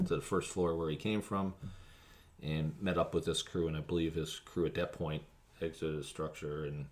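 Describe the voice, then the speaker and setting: A man speaking as a fire officer in an interview, recounting an incident in a measured, matter-of-fact way. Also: very deep voice, little pitch movement, darkish tone.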